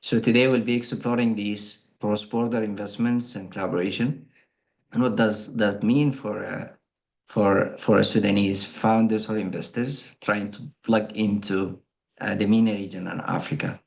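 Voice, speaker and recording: A man moderating a panel, introducing the discussion in a halting, hesitant delivery. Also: fairly low pitch, steady tone, vocal fry.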